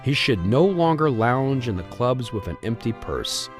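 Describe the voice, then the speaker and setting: A man's voice: medium pitch, very animated, unhurried, soft background music. A man narrating a scripted old-style radio melodrama in a dramatic, theatrical storytelling manner.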